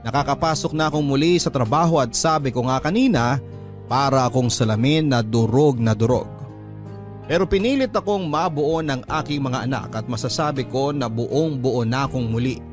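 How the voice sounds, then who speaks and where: A man's voice: medium pitch, moderate pace. A man narrating a dramatic first-person story as a radio host. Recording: music under the voice.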